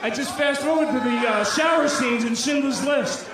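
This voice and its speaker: A man doing loud, combative, profane live stand-up, snapping back at hecklers. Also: very high-pitched, moderate pace. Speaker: stand-up comic performing at a live show. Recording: echoey live venue, distant mic, noisy crowd. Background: loud crowd noise and laughter.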